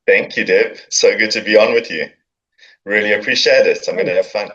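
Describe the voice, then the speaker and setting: South African man, chatty and lowish. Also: brisk, breathy edge, lo-fi sound. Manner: chatty, joking, warm storytelling with fillers. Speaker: podcast guest, business owner. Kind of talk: casual interview chat. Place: coworking space.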